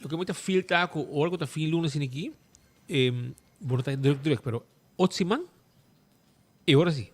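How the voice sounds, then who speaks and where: Lively high-voiced Aruban man on radio. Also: a little fast, long pauses, soft background music. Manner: lively, rambling, mixes languages. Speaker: radio show host. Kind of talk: casual radio talk with ads.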